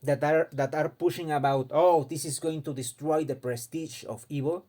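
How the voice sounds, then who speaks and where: A man's voice: a little high, steady tone, moderate pace, mellow. A man from Mexico, giving an impassioned casual opinion monologue and often repeating words as he searches for them. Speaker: fighting game commentator.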